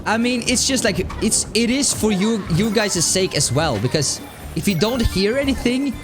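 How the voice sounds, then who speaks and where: A man streaming a game, with a light, high-pitched voice, chatting casually and rambling with lots of laughs. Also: normal speed. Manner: animated, rambling, laughs often. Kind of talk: casual live-stream chat while playing. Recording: music playing underneath. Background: game music.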